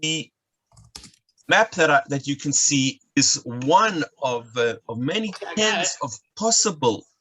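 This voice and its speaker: Man, South African accent, educator, online lecture, brisk explaining, forceful voice. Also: on the high side, animated, husky, low-quality recording. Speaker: educator and guide. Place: home, at night. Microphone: video call.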